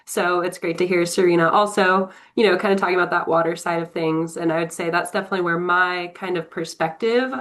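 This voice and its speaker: A young woman from Tennessee, congested from a cold, giving an upbeat, chatty introduction on a video call with many fillers. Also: lowish voice. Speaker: prospective board member.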